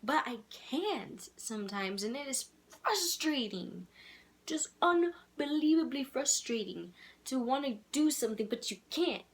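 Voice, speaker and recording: A young woman with a childish-sounding voice, talking candidly and rambling in a casual video letter to her twin, laughing softly at herself. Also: sits high, lively intonation, measured pace. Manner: candid, rambling, self-deprecating with frequent soft laughs. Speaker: vlogger.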